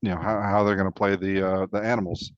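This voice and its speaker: A man's voice: low voice, little pitch movement, quick pace, smooth, no echo. A man co-hosting a casual two-person discussion, recorded with a dull, dry sound. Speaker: co-host.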